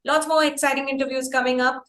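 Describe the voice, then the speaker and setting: A woman with an Indian accent hosting a casual interview, gushing and halting as she repeats her phrases. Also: high-pitched, little pitch movement, fairly bright tone. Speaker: interview show host. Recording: roomy, echoing sound.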